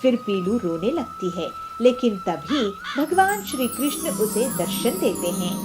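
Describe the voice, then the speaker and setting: A woman narrates a children's cartoon tale. Her voice is very high with animated, swinging intonation, and faint music plays behind her. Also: unhurried. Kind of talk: scripted children's story narration.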